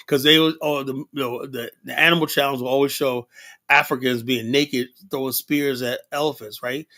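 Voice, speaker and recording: A middle-aged man from Liberia hosting a casual livestream discussion, rambling and animated, full of fillers and "you know?" tags. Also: mid-range voice, moderate pace. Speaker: livestream host.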